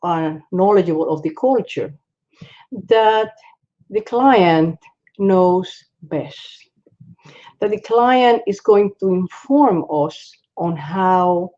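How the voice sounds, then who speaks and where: A woman from the Republic, speaking as a psychologist guest in a panel discussion, halting, with many fillers and restarts. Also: lowish voice, lively intonation, mellow. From Dominican Republic. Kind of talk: panel discussion on a video call. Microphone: video call. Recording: dull lo-fi call audio.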